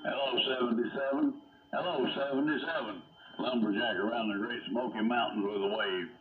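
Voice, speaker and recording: A man's voice: mid-range voice, fairly quick, over a noisy crowd. Southern American man through noisy, narrow-band radio. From United States. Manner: folksy, clipped phrases. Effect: radio transmission. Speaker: amateur CB radio operator. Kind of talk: casual radio chat. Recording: narrow-band AM radio, loud garbled interference. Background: other radio voices and static.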